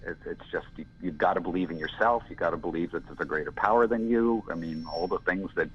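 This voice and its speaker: A man in his sixties with a gravelly, gruff voice, giving a rambling, reflective interview answer with lots of fillers. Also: deep voice, fast. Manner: rambling, reflective, many "you know" fillers. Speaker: interview guest, filmmaker. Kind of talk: casual conversational interview. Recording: dull lo-fi audio.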